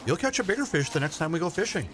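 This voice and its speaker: An actor playing a gentle, consoling fishing companion in a radio play, with a reassuring manner. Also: male voice, on the high side, very animated, rapid-fire, slight background noise. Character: gentle, consoling fishing companion man comforting disappointed pal.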